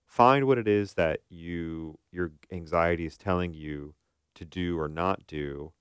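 A man's voice: sits low, a little slow, smooth, no echo, studio quality. A man co-hosting a talk show as a therapist, giving casual, explanatory advice and often asking questions to check for agreement.

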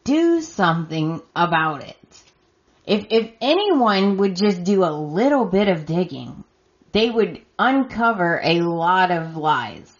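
A woman's voice: on the low side, lively intonation, slow pace, muffled recording. A woman hosting a solo recap podcast, talking emphatically and addressing the characters directly while her voice turns scratchy from losing it. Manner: emphatic, talks straight to the characters. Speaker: podcast host.

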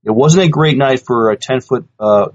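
A man co-hosting a podcast, reading news items and chatting casually, sometimes haltingly, on a lo-fi recording. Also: medium pitch. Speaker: podcast co-host. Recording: dull, lo-fi podcast audio.

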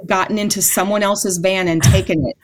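A woman's voice: medium pitch, steady tone, a little fast, a loud crowd. A woman in her forties, a guest from events ministry, telling heartfelt stories in a casual podcast chat with frequent restarts.

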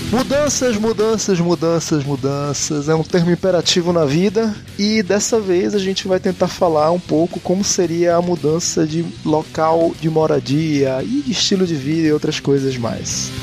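A man from Manaus hosting a podcast, talking in a relaxed, joking, chatty way. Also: a little high, moderate pace, few pauses, soft background music. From Manaus, Brazil. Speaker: podcast host. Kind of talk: casual podcast chat.

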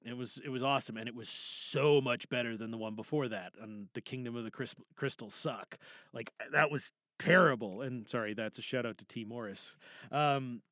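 A man's voice: medium pitch, pushed and bright, dry recording. A man chatting casually as a podcast host, rambling informally and often trailing off or rephrasing mid-sentence. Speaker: podcast host giving feedback to fellow podcasters. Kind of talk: casual, rambling solo chat with opinions on films.

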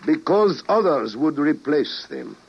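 An actor playing a grave, persuasive president of a ruined world in an old-time radio drama, speaking in a solemn, measured, rhetorical way. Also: male voice, a little high, huge pitch swings, slightly rough, full character voice. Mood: solemn, insistent. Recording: muffled old radio recording.

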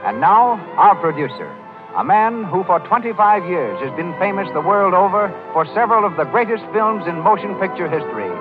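A man's voice: high-pitched, expressive, fairly quick. A man working as a radio announcer in the 1930s, reading a script in a formal, declamatory style. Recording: dull lo-fi 1930s radio broadcast. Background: music.

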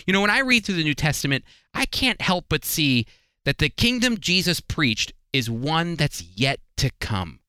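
A man's voice: fairly high pitch, very animated, normal speed, bright, forceful tone, clean tone, no room sound. A man teaching the Bible as a radio host in a conversational, earnest and explanatory way.

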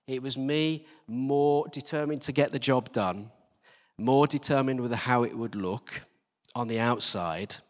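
A British man giving an informal church sermon in an earnest, conversational manner, addressing his listeners directly as a preacher. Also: mid-range voice. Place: large church hall, live service. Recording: reverberant hall.

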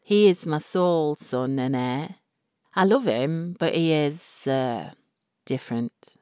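A woman voicing a cold, scheming older matriarch who speaks with measured, genteel condescension in a story reading. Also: deep voice, darkish tone, clear, resonant tone, no room sound, studio quality. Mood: measured persuasion.

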